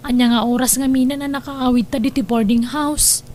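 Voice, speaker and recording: A woman acting a young female character, expressive and plaintive, in an Ilocano radio drama. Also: high-pitched, brisk, close to an everyday voice. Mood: casual. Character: young female, anxious girlfriend or dutiful daughter. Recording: slightly noisy radio drama track.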